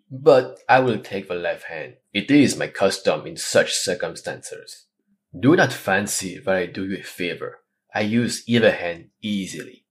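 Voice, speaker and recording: A man reading the part of a proud, wounded noble swordsman, in a formal and courtly manner. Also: deep voice. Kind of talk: audiobook character reading.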